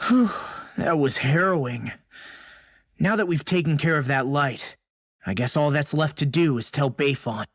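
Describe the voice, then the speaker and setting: A man's voice: fairly high pitch, unhurried, no echo, studio quality. A man voicing a kindhearted, scrappy teenage boy in a video game, talking casually and awkwardly. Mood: relieved concern. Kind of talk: dramatic video game acting.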